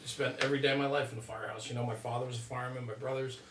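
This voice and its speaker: Middle-aged American male, firefighter podcast host. Bright, forward tone, conversational, recorded roomy and slightly distant. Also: medium pitch, steady tone, very fast. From New Jersey, United States. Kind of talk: casual interview conversation.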